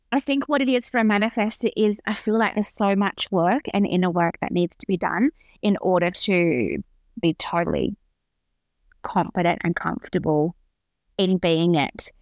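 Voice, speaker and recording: A woman's voice: medium pitch, dry recording. A woman in her thirties, a podcast guest talking earnestly and reflectively about her own experience. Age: 30s. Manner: earnest, reflective, with frequent restarts. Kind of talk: casual interview conversation.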